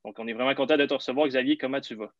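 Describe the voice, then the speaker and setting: A man's voice: mid-range voice, fairly even intonation, racing. A man with a Québécois accent hosting a sports podcast, welcoming listeners and a guest with frequent fillers. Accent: Québécois French. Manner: friendly, lots of fillers. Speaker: podcast host. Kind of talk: casual podcast interview. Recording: slightly dull lo-fi sound.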